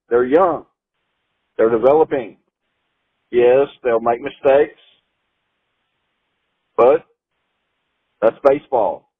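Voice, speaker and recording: Male sports show caller, husky and slow, short halting phrases, tinny phone voicemail. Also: medium pitch, no echo. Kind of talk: plain, deliberate fan commentary.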